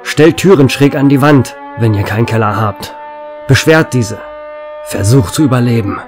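A doomed small-town radio host, played by a man, broadcasting through a catastrophe in a fan radio drama. His voice sits at an ordinary pitch, urgent and announcer-like, with soft background music underneath. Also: moderate pace, natural voice. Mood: alarmed. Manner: urgent broadcast announcing, turning quiet and resigned. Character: male small-town radio host Gary, facing doom.

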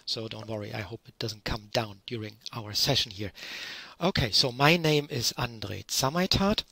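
A middle-aged man from Germany with a German accent gives a relaxed online lecture from home, using lots of fillers. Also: mid-range voice, moderate pace, vocal fry, husky, no room sound. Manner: relaxed, explanatory, with frequent fillers. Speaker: university lecturer. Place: small room at home, curtain behind. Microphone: headset.

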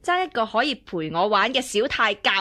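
Young princess, Hong Kong Cantonese, very high bright voice, whiny, coaxing, radio drama. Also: female voice, big pitch swings, normal speed. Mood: whiny, wheedling. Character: spoiled, wheedling young imperial princess.

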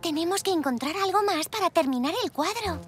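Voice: extremely high pitch, a little fast, faint music behind. An actor voicing a helpful, cheerful young girl zebra in a children's cartoon, with a childlike voice and an encouraging manner. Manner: encouraging, lively.

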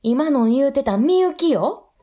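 An actress playing a brisk, teasing woman of a household, with a Kansai accent, in a radio drama. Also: high-pitched, expressive, mellow.

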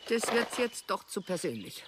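An actress playing an agitated, evasive old lady in a radio drama, defensive and halting in her way of talking. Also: medium pitch, big pitch swings, close to an everyday voice. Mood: defensive, reluctant. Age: older. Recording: faint outdoor nature noise.

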